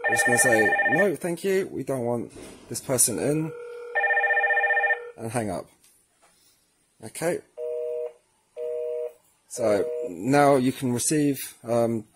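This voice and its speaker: A man's voice: a little high, a little hiss or hum. A man presenting a casual how-to demonstration, speaking informally and in a friendly way to viewers. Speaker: presenter of a product tutorial series.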